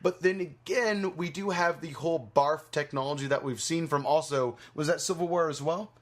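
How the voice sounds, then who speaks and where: A man's voice: fairly high pitch, normal speed. A man hosting a movie podcast in a casual, rambling way, swearing freely as he chats. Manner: rambling, foul-mouthed. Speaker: podcast host.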